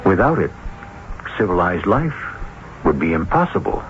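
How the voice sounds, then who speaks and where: A man giving solemn, measured, scripted dramatic narration as host of a radio program. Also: deep voice, big pitch swings, heavy background noise. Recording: muffled old radio, loud music behind.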